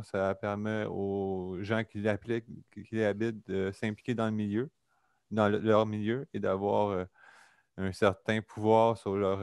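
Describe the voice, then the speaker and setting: Québécois man, low steady voice, smooth and dry, earnest delivery with hesitant fillers, on a remote call. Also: no room sound. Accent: Québécois French. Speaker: public hearing participant. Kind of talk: formal public statement. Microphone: video or phone call.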